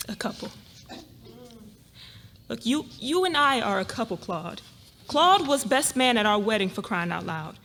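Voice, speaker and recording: A woman performs a shocked wife and mother in a dramatic reading. The voice is high and bright, full of incredulous exclamation and pleading, with animated rises and drops. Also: slow, long pauses, heavily acted. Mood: hurt, indignant.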